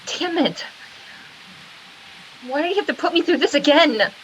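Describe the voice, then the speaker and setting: A woman playing a troubled, guilt-ridden wife in a comic table read, speaking in a halting, emotional way. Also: sits high, lively intonation, a bit bright. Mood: anguished. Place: video call. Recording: noisy online call with rain-like hiss.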